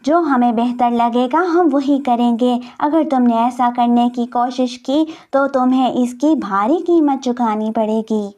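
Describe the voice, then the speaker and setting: Woman doing strict old lady sea creature boss, cartoon, slow and commanding, highish. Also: dark tone, lightly acted. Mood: stern. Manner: commanding, severe. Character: stern elder female sea creature, authoritative leader.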